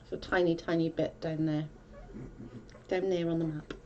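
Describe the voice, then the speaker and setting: Chatty South Welsh woman, low voice. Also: level tone, normal speed, darkish tone, highs cut off, a little hiss or hum. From Wales. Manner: chatty, rambling, restarts often. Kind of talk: casual chat reading comments.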